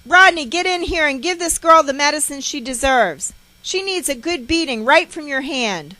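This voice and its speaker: A woman storyteller voicing an enraged, abusive mother, harsh and shouting, who scolds and threatens. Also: sits high, animated, moderate pace, no room sound, voice pushed up. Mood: furious, commanding.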